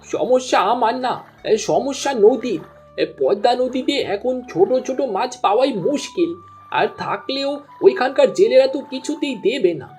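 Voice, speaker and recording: A woman's voice: a little high, lively intonation, moderate pace, dark tone, slight background noise, close to an everyday voice. A woman voicing a friendly, cautious village fisherman in a cartoon dub. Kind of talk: cartoon dubbing.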